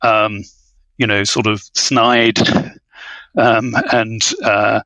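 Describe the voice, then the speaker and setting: A man with a bright, crisp-edged voice is recorded close and dry. He talks as a scholarly podcast guest, unscripted and halting, repeating words as he builds each point. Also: fairly low pitch, little pitch movement, dry recording, highs cut off. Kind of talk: unscripted analytical podcast discussion.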